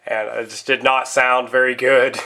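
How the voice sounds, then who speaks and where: Bright-voiced man, stuffy from a cold. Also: medium pitch, little pitch movement, measured pace. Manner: rambling, talks straight to viewers as guys. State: stuffy nose, slight lingering cough after a cold. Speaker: vlogger reviewing pocket knives. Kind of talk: casual chatty product vlog.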